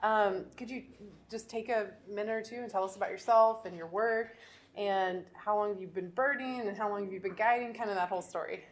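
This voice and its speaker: A woman's voice: medium pitch, steady tone, fairly quick, slight background noise. A woman co-hosting a birding podcast in casual chat, laughing often and teasing her co-host.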